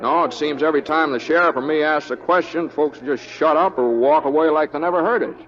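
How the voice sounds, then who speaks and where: An actor playing an easygoing, shrewd young deputy who talks in a hesitant, understated drawl in a 1940s radio Western. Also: male voice, fairly high pitch, a little fast, crowd noise, lightly acted. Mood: mild, understated. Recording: dull old radio broadcast.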